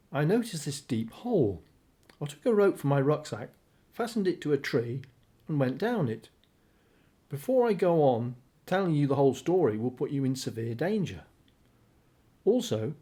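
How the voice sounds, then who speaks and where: An actor in a radio play voicing an evasive but caring husband with a mild, cautious manner. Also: male voice, on the high side, very animated, normal speed, mellow. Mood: earnest, secretive.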